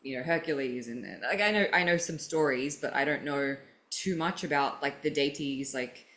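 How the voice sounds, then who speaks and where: A woman's voice: low voice, moderate pace, a bit bright. A woman hosting a podcast, talking animatedly and swearing freely as she thinks aloud in casual chat. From Greek heritage. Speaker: podcast host.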